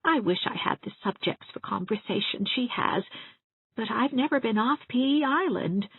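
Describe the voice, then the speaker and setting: A gentle old widow aunt, acted by a woman reader, husky and very lilting in pitch. She rambles along confidingly and genteelly, a timid audiobook character in a thin, roomy recording. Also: animated, normal speed, narrow, tinny audio. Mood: chatty, earnest. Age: 60s. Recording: roomy, thin band-limited sound.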